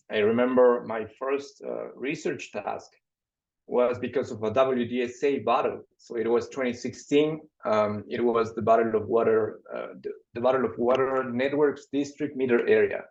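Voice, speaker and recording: A man from Ecuador, an assistant professor, introducing himself on a webinar panel, halting and often repeating his words over a video call. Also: medium pitch, darkish tone. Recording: dull lo-fi video call audio.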